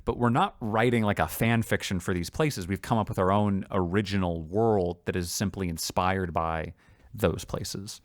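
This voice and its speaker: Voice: low voice, normal speed, no echo, clean studio sound. A podcast co-host in a casual creative brainstorming chat, talking enthusiastically and often doubling back on words.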